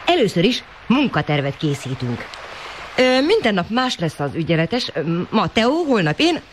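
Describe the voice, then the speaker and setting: A male actor playing a bossy, energetic teenage boy in a Hungarian radio drama, briskly giving his friends orders. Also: high-pitched. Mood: commanding. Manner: brisk, commanding. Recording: noisy 1980 radio play recording.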